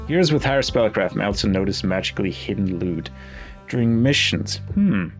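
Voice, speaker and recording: A man with a low voice and a noticeable fry talks through a game in a casual way. He muses aloud and hesitates between thoughts, reading bits of game text as he goes. Music plays faintly behind him. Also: expressive, fairly quick. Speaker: gaming video host.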